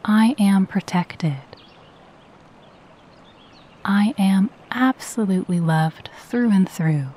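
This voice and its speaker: A woman guiding a meditation in a soft, breathy voice, with a gentle, soothing and unhurried delivery. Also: mid-range voice. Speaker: meditation guide. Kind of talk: guided meditation with spoken affirmations.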